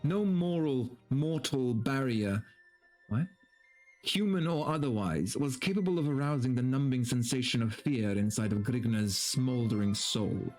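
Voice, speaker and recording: A man's voice: mid-range voice, darkish tone. A man doing a dramatic story reading as a streamer, switching between theatrical narration and casual, amused, profane commentary. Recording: background music under the voice. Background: video game music.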